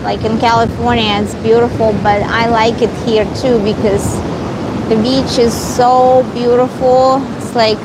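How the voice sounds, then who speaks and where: Russian-native woman streamer on a windy beach, unhurried and chatty, heavy wind noise. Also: fairly high pitch, flat delivery. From Eastern Europe, Russian family. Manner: slow, rambling, reads and answers viewer comments. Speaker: live streamer. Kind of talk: casual live stream chat. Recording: loud wind and surf noise. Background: ocean waves and wind.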